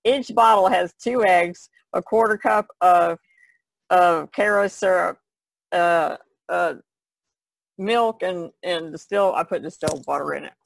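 A woman's voice: fairly low pitch, unhurried, gravelly, no echo. A woman co-hosting a podcast in casual chat, with a rambling, easygoing way of talking. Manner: rambling, easygoing, giggles often. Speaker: podcast co-host.

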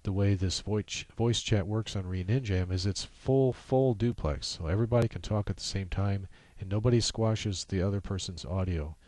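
A man with a very low, mellow voice presents an informal screencast tutorial. He explains each step in a halting, practical way. The sound is dry and close, with little room in it. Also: no echo.

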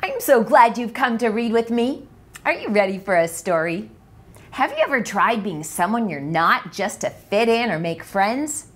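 A woman's voice: on the high side, very animated, moderate pace. A mature woman hosting a storytime, reading aloud expressively and addressing young children directly. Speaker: children's storytime host and reader. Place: small living room with bookshelves.

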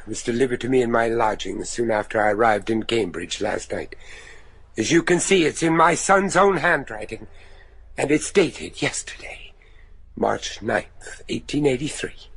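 A man acting in a gothic radio drama as a stern, anxious American father and judge, deliberate and formal. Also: mid-range voice, animated, vocal fry, fairly bright tone, slightly rough, dry recording, heavily acted. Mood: grave, pointed. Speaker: actor.

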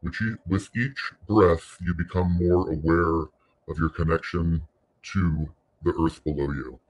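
Guy with a deep low voice doing guided meditation, really slow, soothing, lots of pauses. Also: fairly even intonation, breathy edge, rough recording. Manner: soothing, unhurried, pausing between short phrases.

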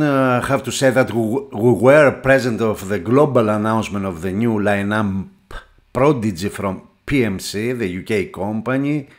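A man narrating a video report as a reviewer, addressing his viewers. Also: on the low side.

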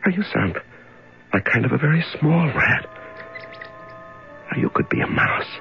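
Radio drama prisoner, fighting panic, gravelly murmured self-talk, dull old broadcast sound. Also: male voice, on the high side, animated, soft background music. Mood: self-soothing. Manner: halting, murmuring self-talk, breathy outbursts. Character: frightened male prisoner in a dark room, fighting panic. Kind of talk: 1970s radio drama acting, soliloquy. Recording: dull 1970s radio recording.

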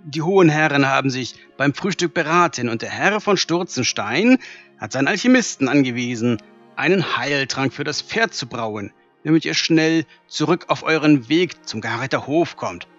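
A man's voice: fairly high pitch, animated, normal speed, a bit bright, soft background music. A man playing a flustered, officious castle servant in a fantasy audio drama. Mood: flustered, urgent. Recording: dull, band-limited sound.

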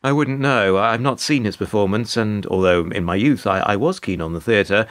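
A man's voice: fairly low pitch, moderate pace, close to an everyday voice. A British man playing a weary middle-aged narrator in a radio play, dry, hesitant and sardonic. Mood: wry. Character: wry, weary older male narrator, reluctant bystander.

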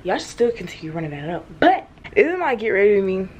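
A woman's voice: mid-range voice, sing-song, darkish tone, highs cut off. Teen girl vlogger, casual and playful, talking on a phone camera, faint room hum. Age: teens. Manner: chatty, playful, quick asides and laughs. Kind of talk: casual vlog chat to camera. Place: at home in a bedroom, later in a large store. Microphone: phone camera held at arm's length.

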